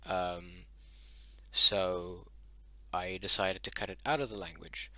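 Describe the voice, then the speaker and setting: A man whose first language is Dutch-derived talks through a lesson in loose, halting sentences full of ums and restarts. His voice sits fairly low but sounds very bright and forward. It comes across dry, with almost no room sound. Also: fairly even intonation, no room sound. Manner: hesitant, many ums and self-corrections. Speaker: hobbyist teaching his invented language. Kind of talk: casual spoken lesson.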